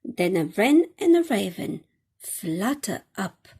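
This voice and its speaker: A woman reading a children's storybook aloud in an expressive, dramatic, deliberate storytime style. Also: medium pitch, husky. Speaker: storybook reader.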